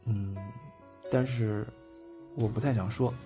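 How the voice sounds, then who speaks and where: A man's voice: on the low side, steady tone, slow. A man acting a bashful, cheeky young man who stammers and gets flustered with his older boyfriend in a Chinese audio drama. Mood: hesitant, coy. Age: young adult. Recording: loud background music.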